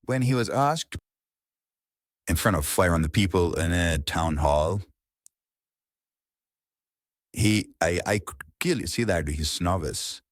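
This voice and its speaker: A man with an Indian accent speaks in a low voice, recorded close and dry. He teaches informally, in an earnest and somewhat halting manner, leaning on repeated words to make his point. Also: animated, no echo. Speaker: public speaking coach. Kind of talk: informal instructional talk on public speaking.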